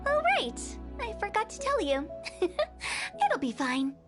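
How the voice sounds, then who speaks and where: A very high, girlish voice over prominent music: a mischievous young funeral director from a video game. She is playful and theatrical, talking like a teasing show-woman. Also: sing-song, slow, heavily acted. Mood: breezy, confident. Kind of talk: video game character acting. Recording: music behind the voice.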